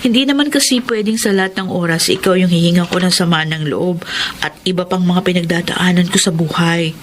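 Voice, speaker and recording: A woman's voice: lowish voice, a little fast, natural voice. A Filipino actress playing a humble, hardworking young woman student, polite and earnest, in a radio drama. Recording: radio drama with clear background noise.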